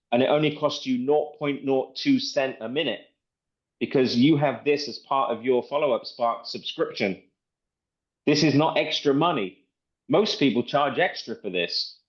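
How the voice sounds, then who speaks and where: A man's voice: mid-range voice, highs cut off. A man giving a casual, rambling sales presentation, explaining things in a repetitive way. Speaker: presenter demonstrating software.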